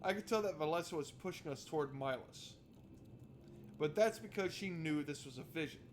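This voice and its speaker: A man's voice: on the high side, normal speed, slight background noise. A man doing let's play commentary on a visual novel, reading narration aloud and heckling it in a sarcastic, exasperated, sweary way. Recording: music behind the voice. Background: game music.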